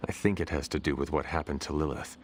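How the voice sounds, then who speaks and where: An actor playing a calm, resolute demon hunter in a video game, measured, earnest and slightly formal. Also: male voice, extremely low pitch, a little hiss or hum. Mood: measured, reassuring. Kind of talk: dramatic video game acting.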